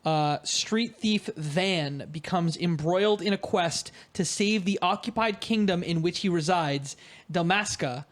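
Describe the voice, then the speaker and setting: A man's voice: high-pitched, measured pace. Casual male podcast host, mid-range voice.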